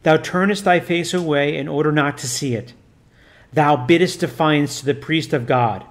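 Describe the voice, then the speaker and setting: A man's voice: on the high side, moderate pace, natural voice. Man playing a stern commanding priest. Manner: loud, commanding, formal old-fashioned speech. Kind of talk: audiobook reading.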